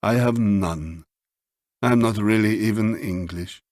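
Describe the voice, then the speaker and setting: A man voicing a detached, dreamy husband who speaks softly and tersely in an audiobook story. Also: fairly low pitch, unhurried, dry recording, natural voice. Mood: dreamy, cryptic. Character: quiet, distracted, dreamy husband, detached and cryptic.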